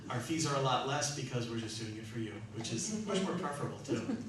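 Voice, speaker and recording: A man hosting an audience question session for a theatre troupe, telling anecdotes in an animated, joking way. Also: medium pitch, fast, crowd noise. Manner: animated, joking, often laughing. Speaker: radio theatre troupe founder and host. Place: live hall with an audience. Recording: echoey hall, distant mic, noisy.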